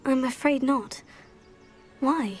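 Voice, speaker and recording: A woman voicing a composed young witch student in a video game, polite, measured and slightly formal. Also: high-pitched, soft background music. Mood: calm, reassuring. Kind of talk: video game cutscene acting.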